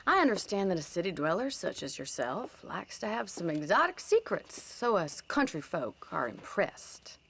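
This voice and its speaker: A woman's voice: fairly low pitch, big pitch swings, moderate pace, few pauses, slight background noise. An actress playing a spirited young American ranch woman in a video game, forthright, wry and playful. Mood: teasing. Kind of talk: video game cutscene acting.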